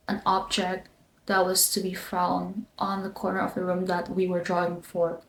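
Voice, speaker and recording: Young woman vlogging in an echoey room. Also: mid-range voice. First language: not English, not German. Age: young adult. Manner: relaxed, filler-heavy, with frequent likes and ums. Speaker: vlogger, design student. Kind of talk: casual explanatory vlog. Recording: echoey room, faint background noise.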